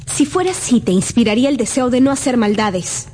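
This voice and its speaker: An actress playing a gentle, earnest, troubled young woman in a radio drama. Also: fairly high pitch, lively intonation, fairly quick, a little hiss or hum, lightly acted. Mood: reproachful. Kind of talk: Spanish-language radio drama acting. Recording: clear music behind the voice.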